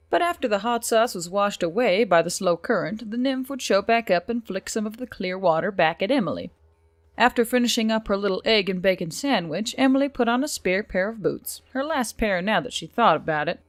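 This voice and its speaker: A woman's voice: mid-range voice, no room sound. A woman reading scripted fantasy fiction as an audiobook narrator.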